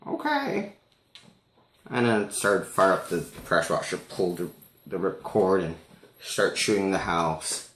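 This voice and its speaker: A man casually telling a personal story in an animated way, as if acting out the conversation for listeners. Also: low-pitched, moderate pace, roomy sound, slight background noise. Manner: animated, acts out dialogue.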